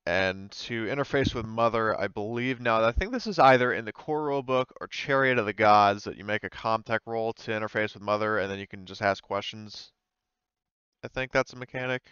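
A man with a fairly low voice acts as game master of a tabletop roleplaying game. He explains things in a casual, tentative way. The sound is dry and slightly muffled, like a voice chat. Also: fairly quick, fairly bright tone, smooth, no room sound.

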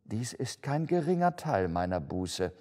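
A man's voice: fairly low pitch, sing-song, unhurried, darkish tone. A man voicing a stern, doomed male ghost in chains for a storybook, solemn, declamatory and lamenting. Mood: urgent, warning. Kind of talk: dramatic storybook reading. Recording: long hall-like reverb.

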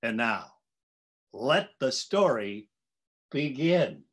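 A man's voice: medium pitch, big pitch swings, very slow, breathy edge. A man in his sixties, an author reading scripted narration for a children's story.

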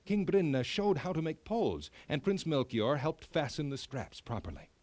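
A man narrating a children's fairy tale in an expressive, measured storytelling style. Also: fairly high pitch.

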